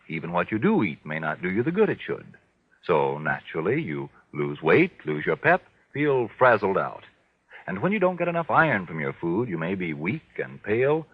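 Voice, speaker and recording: A man's voice: lowish voice, husky, no room sound. A man announcing in 1940s radio style, formal and emphatic, with a polished sales delivery. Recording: muffled old radio recording.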